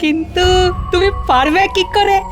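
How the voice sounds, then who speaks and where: A woman's voice: very high-pitched, moderate pace, music in the background. A woman voicing a curious, chatty young grandchild in a cartoon. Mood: curious, teasing. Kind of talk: Bengali cartoon dialogue.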